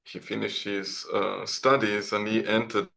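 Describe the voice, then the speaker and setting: A man's voice: fairly low pitch, little pitch movement, measured pace, gravelly, fairly bright tone. A man from Italy, answering as a guest author on an online call in a hesitant, discursive conversational way.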